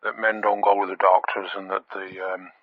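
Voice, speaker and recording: A man's voice: lowish voice, little pitch movement, brisk, a little hiss or hum, lightly acted. An actor playing a stubborn, indignant, blundering caller on a spoof phone-in, stammering and repeating himself as he argues. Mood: insistent. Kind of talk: spoof radio phone-in comedy. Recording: dull telephone line.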